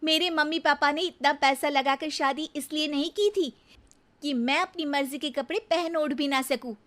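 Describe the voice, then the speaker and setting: Lady doing a snooty young city wife, high and sharp, whiny and rude. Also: normal speed. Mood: haughty. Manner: haughty, whiny. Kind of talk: storybook cartoon voice acting.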